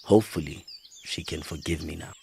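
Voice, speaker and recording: A man's voice: extremely low pitch, steady tone, fairly quick, creaky, warm tone, slight background noise. A man with a southern African accent playing a grave, remorseful widowed father in middle age, in a radio drama.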